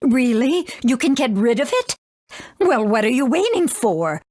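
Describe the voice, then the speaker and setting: A woman's voice: high-pitched, sing-song, unhurried, a little mellow, dry recording, studio quality, full character voice. A woman voicing a brusque but doting mother condor in a video game. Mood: brusque, worried. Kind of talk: English game dub.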